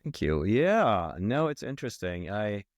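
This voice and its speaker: A middle-aged man from Sweden with a Swedish accent, a marketing executive talking about his career in a relaxed, reflective way with many fillers and restarts. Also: fairly low pitch, unhurried, no echo, clean studio sound. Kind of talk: casual interview talk.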